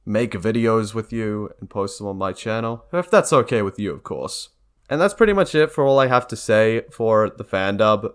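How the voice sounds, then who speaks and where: A man's voice: mid-range voice, clear, resonant tone. Earnest young man, casual rambling vlog talk. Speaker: video creator.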